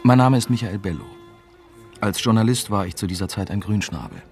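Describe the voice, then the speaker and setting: An actor playing a young, wry German reporter, narrating a radio drama in a dry, matter-of-fact way. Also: male voice, on the low side, lively intonation, brisk, gravelly, darkish tone, faint music behind. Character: young, wry male German newspaper reporter recounting his story. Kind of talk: scripted first-person radio drama narration.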